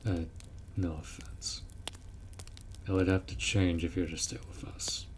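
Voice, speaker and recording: A man's voice: low-pitched, flat delivery, measured pace, slight background noise. A man voicing a blunt, protective orc warrior who speaks informally and firmly in a roleplay audio drama. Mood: casual, reassuring. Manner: blunt, informal, firm, instructing.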